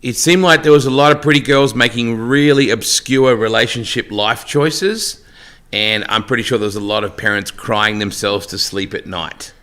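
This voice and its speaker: A man's voice: mid-range voice, normal speed, fairly bright tone. A middle-aged Australian man, a race announcer, chatting as a podcast guest and telling stories with joking asides. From Western Australia. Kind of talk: casual podcast interview.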